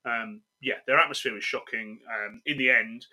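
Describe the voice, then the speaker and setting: A man from England on a podcast panel, telling match-day stories in lively casual chat. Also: medium pitch, normal speed, rough recording.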